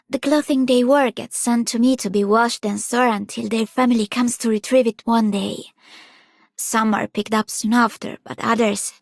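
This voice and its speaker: Breathy, solemn game heroine. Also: female voice, a little high, no room sound. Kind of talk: scripted game character voice line.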